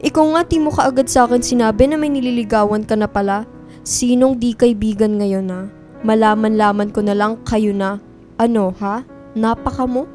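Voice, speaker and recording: A woman's voice: on the high side, steady tone, moderate pace, a little mellow, lightly acted. Woman acting blunt, sulky young best friend over music. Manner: blunt, slangy, teasing banter. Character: sulky, teasing college-age female best friend, jealous and guarded. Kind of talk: radio drama dialogue.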